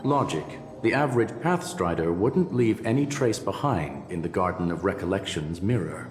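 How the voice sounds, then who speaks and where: A detached robot genius. He talks in a measured, analytical way, with a very low voice. A long reverb and quiet music sit behind him. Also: expressive. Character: aloof male robot genius scholar. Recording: roomy reverb with game music.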